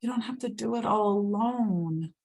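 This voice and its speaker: A woman voicing gentle Mother Earth, soft and motherly. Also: mid-range voice, normal speed, gravelly, pitched above the performer's own voice. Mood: reassuring. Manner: soft, reassuring, hushed.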